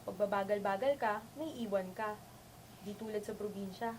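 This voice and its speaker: A woman's voice: medium pitch, fairly even intonation, moderate pace, a little mellow, a little hiss or hum, lightly acted. A woman playing a friendly, frank young city dormmate in an amateur radio drama, chatty and candid.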